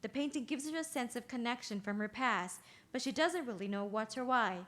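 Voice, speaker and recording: A woman's voice: fairly high pitch, expressive, brisk, a bit bright. A woman reading stage directions as narrator at a play's table read, in scripted narration.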